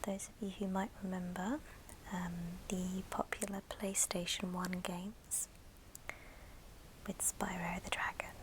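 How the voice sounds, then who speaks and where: A woman hosting an ASMR show and tell in a soft, breathy whisper, speaking gently in short phrases. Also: lowish voice, flat delivery, unhurried, noisy background. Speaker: ASMR video host.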